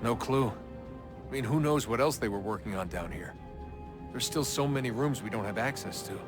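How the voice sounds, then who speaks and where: A man's voice: mid-range voice, lively intonation, moderate pace, over music, close to an everyday voice. An actor playing a laid-back, curious young man in a video game, speaking casually and briefly with understatement. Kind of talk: naturalistic video game acting.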